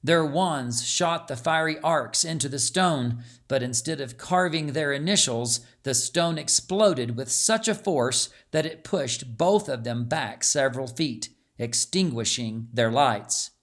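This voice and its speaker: Male narrator for storybook fiction. Unhurried, medium-pitched, measured and dramatic. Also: fairly bright tone. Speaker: audiobook narrator.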